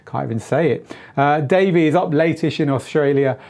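A middle-aged man with a tired, slightly hoarse voice, hosting a live-streamed DJ Q&A from a small studio on a lapel mic, chatty, with lots of fillers and restarts. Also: on the high side, normal speed, a little mellow. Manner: moves around gesturing at equipment while talking. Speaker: DJ tutor and live Q&A host. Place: small home studio with shelves of gear.

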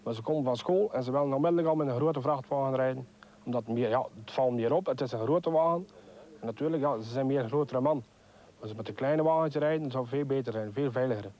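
A young Flemish truck driver in his twenties talks quickly in a colloquial way. His voice is mellow and sits in a middle pitch.

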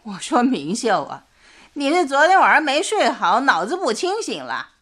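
A woman's voice: high-pitched, wildly swooping pitch, normal speed, fairly bright tone, exaggerated voice. An actress playing a blunt, exasperated middle-aged mother in a radio drama, scolding in colloquial rhetorical questions. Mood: scornful.

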